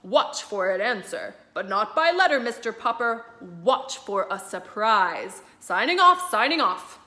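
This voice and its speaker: A jovial expedition admiral calling over the radio, as a woman reading a storybook puts him on. He speaks slowly and loudly, with a bright, lively lilt. The echo of the room rings around him. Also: mid-range voice.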